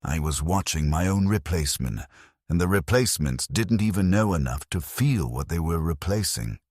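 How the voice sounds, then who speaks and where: A man narrating a scripted first-person story in a somber, measured, bleak way into a close mic. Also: sits low, expressive, a little mellow, no echo.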